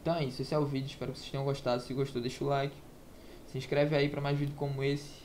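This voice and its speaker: A man's voice: mid-range voice, little pitch movement, racing, a little mellow. A man hosting a self-help monologue. He talks casually and preachily and keeps tossing in rhetorical questions. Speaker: self-help channel host. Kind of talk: motivational monologue to camera. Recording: faint background noise, lo-fi app.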